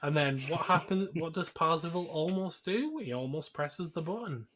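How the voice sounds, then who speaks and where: A man's voice: fairly high pitch, expressive, normal speed, a bit bright, slight background noise. A man hosting a podcast, recounting a film casually and jokily, acting out bits as he goes. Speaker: podcast host. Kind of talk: casual film recap chat.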